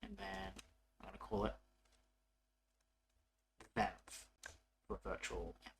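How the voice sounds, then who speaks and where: A man's voice: on the low side, very animated, takes it slowly, gravelly, background noise. A man narrating a casual coding tutorial as an instructor, informal and thinking aloud as he works.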